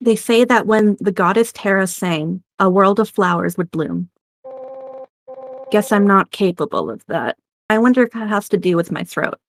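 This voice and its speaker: A woman playing a kind, knowledgeable crewmate in a drama, explaining things in a gentle manner. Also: medium pitch, animated, moderate pace, natural voice. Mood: wistful. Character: kind, knowledgeable young female crewmate with mystical learning. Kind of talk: dramatic game-script acting.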